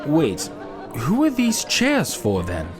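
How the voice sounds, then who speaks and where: A man's voice: on the high side, huge pitch swings, takes it slowly, a crowd in the background, exaggerated voice. A man playing an earnest, courteous commander in a fantasy audio drama. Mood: curious. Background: loud music.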